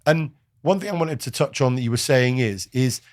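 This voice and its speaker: A man's voice: mid-range voice, animated, moderate pace, no echo, clean studio sound. A man from Wales, hosting a casual, opinionated discussion and pressing his points with emphasis. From South Wales. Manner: emphatic, argumentative, speeds up when pressing a point. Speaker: podcast host.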